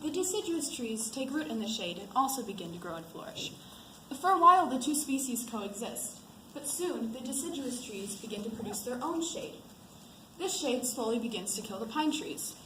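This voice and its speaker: A woman's voice: a little high, lively intonation, moderate pace, pushed and bright. A woman acting as a sarcastic, exacting college teacher giving a pointed lecture in an amateur stage play. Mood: sarcastic, lecturing. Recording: echoey stage with clear background noise.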